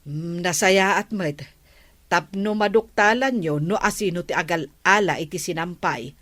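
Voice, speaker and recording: A woman's voice: lowish voice, measured pace, fairly bright tone, no echo. A woman with an Ilocano accent playing an inquisitive, nagging village woman in a comedy radio drama, gossipy and full of probing questions. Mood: suspicious, nagging.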